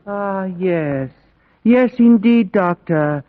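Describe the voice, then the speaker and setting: A man's voice: high-pitched, lively intonation, very slow, exaggerated voice. An actor playing an obsequious, florid male asylum lunatic in a vintage radio drama. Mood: eager, fawning. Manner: florid, overly polite. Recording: phone-like old radio recording.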